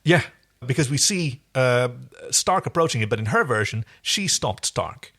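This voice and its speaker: A man co-hosting a casual recap chat, animated and given to acting things out. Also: medium pitch, normal speed. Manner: animated, acts out lines mockingly. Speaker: podcast co-host.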